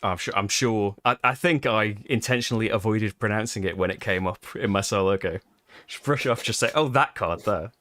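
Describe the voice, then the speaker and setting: A man's voice: lowish voice, brisk, sharp tone, no echo. A British man hosting a live board game playthrough, chatting casually and laughing often at his own expense. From Britain. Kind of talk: casual live-stream chat.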